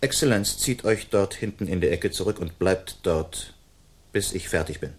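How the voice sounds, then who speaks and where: A man's voice: low-pitched, moderate pace, slightly rough. A man acting a proud, gallant swordsman outlaw in a radio play, speaking formally and commandingly with defiant threat. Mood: threatening.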